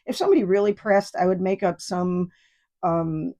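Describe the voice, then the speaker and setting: A woman's voice: lowish voice, moderate pace, mellow. A woman in her fifties from New York State, hosting a solo podcast in a rambling, digressive and profane way. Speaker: podcast host. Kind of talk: casual solo podcast monologue.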